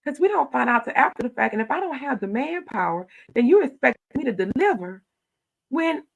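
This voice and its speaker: A middle-aged woman, a county commissioner telling stories in an animated, loud voice. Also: sits high, fairly quick, creaky, poor audio. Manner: animated storytelling, acting out her own quoted replies. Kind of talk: casual interview talk.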